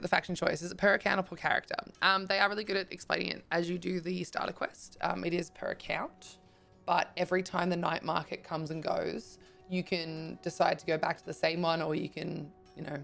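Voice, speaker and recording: A woman with a croaky, gravelly voice talks casually through a live game stream, chatting with and thanking her viewers. Her voice sits on the low side, and faint music plays behind her. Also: brisk, fairly bright tone. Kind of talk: casual live-stream chat while gaming.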